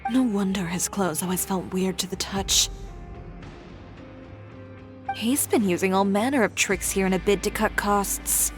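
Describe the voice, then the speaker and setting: Actress as a cool confident young designer woman, measured and matter of fact, medium pitch, game with music. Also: close to an everyday voice. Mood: dry, disapproving. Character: composed, confident young female fashion designer. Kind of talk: scripted game dialogue. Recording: music behind the voice.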